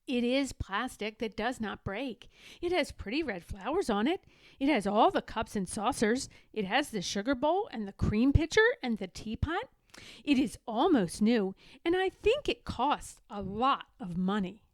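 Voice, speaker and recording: Storybook schemer, voiced by a woman: boastful little girl, high voice, knowing and persuasive delivery. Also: big pitch swings, unhurried, dry recording. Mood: coy, persuasive. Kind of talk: children's storybook read-aloud.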